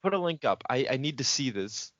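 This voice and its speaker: A man co-hosting a podcast in casual, excitable chat. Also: mid-range voice, a little fast, highs cut off. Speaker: podcast co-host.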